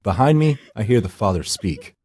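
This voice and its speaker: A man reading a visual novel story as dramatic narration, in a brooding, dry and deliberate manner. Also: on the low side, expressive, darkish tone, husky. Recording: music behind the voice. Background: background music.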